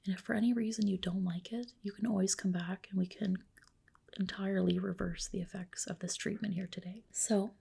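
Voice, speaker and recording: A woman speaking in a soft, breathy whisper, playing a gentle, reassuring clinician in a personal-attention ASMR roleplay. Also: mid-range voice, fairly even intonation, a little fast. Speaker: ASMR performer playing a medi-spa clinician.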